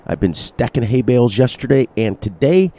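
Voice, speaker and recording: A man commentating his farming game with upbeat, bouncy energy, repeating words in a sing-song way. His voice sounds slightly nasal from allergies. The tone is mellow and warm, at a middle pitch. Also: lively intonation, moderate pace. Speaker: gaming channel host. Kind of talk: narrated gameplay commentary.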